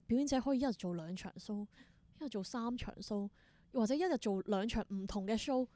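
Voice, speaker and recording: A woman with a Hong Kong Cantonese accent, telling stories casually as an interview guest, expressive and mixing in English words. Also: a little high, a little fast, no echo. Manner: expressive, exclaims in wonder, mixes in English words. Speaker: interview guest, theatre performer.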